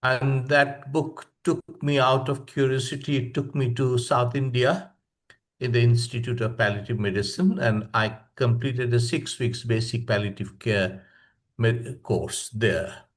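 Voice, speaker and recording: An older man from Bangladesh with a Bangladeshi accent, speaking in a halting, reflective way as a guest presenter on an online webinar. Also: mid-range voice, fairly even intonation. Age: 60s or older. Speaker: professor of palliative medicine, guest speaker. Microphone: online video call.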